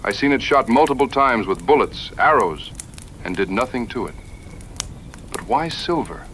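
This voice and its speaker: A man's voice: mid-range voice, animated, moderate pace, fairly bright tone, a little hiss or hum, lightly acted. A man playing a plainspoken, skeptical frontier hunter in an audio drama, earnest and folksy in his backwoods phrasing. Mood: troubled, doubtful.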